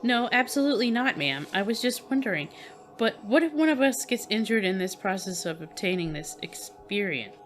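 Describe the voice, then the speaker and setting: A woman as an earnest young student in an audio drama, speaking at a middle pitch. She asks her questions with a slightly uncertain edge. Faint music sits behind her. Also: lively intonation, normal speed, lightly acted. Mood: deferential. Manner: questioning, then deferential.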